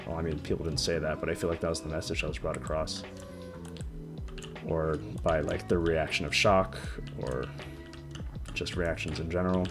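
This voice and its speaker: A young man in his twenties with a deep voice, rambling hesitantly about his life in a personal vlog, often stopping and restarting. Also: little pitch movement. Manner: hesitant, halting, frequent restarts. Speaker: vlogger. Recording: music playing under the voice. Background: background music.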